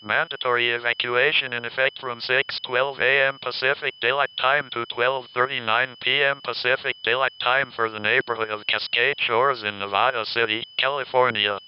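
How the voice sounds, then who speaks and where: A man's voice: medium pitch, level tone, moderate pace, hardly any pauses, natural voice. Formal, slightly muffled man as alert announcer. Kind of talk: scripted emergency alert reading. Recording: slightly dull, faint hum.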